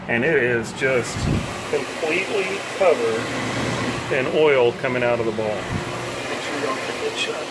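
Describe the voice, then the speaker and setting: A man's voice: mid-range voice, background noise. Man filming a DIY demo in his kitchen. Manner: relaxed, thinking aloud with frequent ums and uhs. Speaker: bowling hobbyist vlogger. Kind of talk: casual DIY demonstration. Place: home kitchen, indoors. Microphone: phone held at arm's length.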